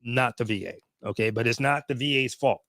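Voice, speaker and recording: A man's voice: mid-range voice, fairly quick, fairly bright tone, dry recording, studio quality. Rambling man in his fifties. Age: 50s. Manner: rambling, lots of fillers and restarts. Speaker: veteran vlogger. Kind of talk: casual talk to camera.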